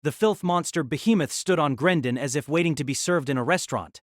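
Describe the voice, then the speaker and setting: A man's voice: on the high side, fairly quick, no echo, studio quality. A man narrating a fantasy novel as a dramatic, scripted audio drama.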